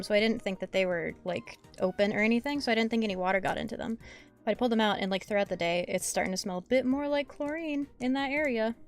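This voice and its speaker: A hoarse woman streaming as she draws, chatting in a rambling way with lots of fillers and restarts, swearing now and then. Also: medium pitch. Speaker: artist streamer. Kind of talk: casual chat while drawing on stream.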